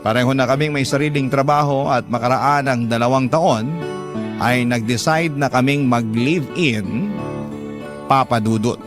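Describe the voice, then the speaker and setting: A man playing a sincere young Filipino telling his love story as measured first-person radio drama narration. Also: mid-range voice, lightly acted. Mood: earnest, wistful. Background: guitar music.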